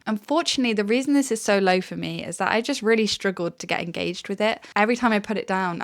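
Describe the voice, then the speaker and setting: A young woman, chatting casually through her monthly book reviews, a little under the weather and sometimes slightly croaky, with frequent "um"s. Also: mid-range voice. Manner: chatty, frequent "um" and light laughs. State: recovering from illness, may sound croaky. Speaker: book vlogger.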